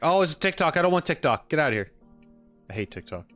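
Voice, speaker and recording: A man's voice: a little high, very animated, quick pace, a bit bright, soft background music. A man chatting casually as a streamer, rambling through his opinions in a tentative, hedging way. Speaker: streamer reacting with live chat. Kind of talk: casual rambling livestream chat.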